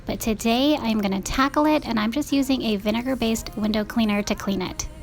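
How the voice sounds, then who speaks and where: A woman's voice: a little high, brisk, gravelly. A woman narrating her household chores in a conversational, explanatory voice-over, as a home vlogger would. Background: music behind the voice-over.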